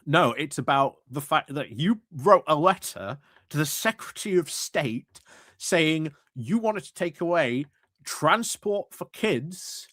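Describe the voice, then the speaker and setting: A man's voice: fairly high pitch, animated, slow, a bit bright, dry recording, studio quality. A man doing live reaction commentary over council footage, sarcastic and laughing at what he hears.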